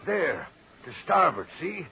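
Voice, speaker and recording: Experienced sailor, uneasy shipmate in radio melodrama, animated intonation, heavy hiss on a vintage recording. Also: male voice, fairly high pitch, takes it slowly, full character voice. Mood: puzzled. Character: seasoned sailor, superstitious, uneasy, concerned shipmate. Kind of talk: 1940s radio drama acting. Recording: muffled, clearly noisy 1940s radio recording.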